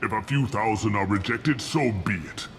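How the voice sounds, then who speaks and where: Old alien warlord, acted by a man, low deep voice, haughty, scornful, theatrical, video game drama, noisy background. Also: moderate pace, close to an everyday voice. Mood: cold, imperious. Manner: pompous, theatrical, disdainful. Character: proud, contemptuous old alien warlord scientist. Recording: loud ambient noise behind.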